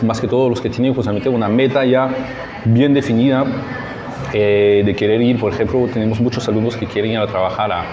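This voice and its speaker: A man with a French accent and French as his native language, a French teacher answering informally in a halting way, searching for words. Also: lowish voice. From France. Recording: muffled, echoey outdoor recording with crowd noise.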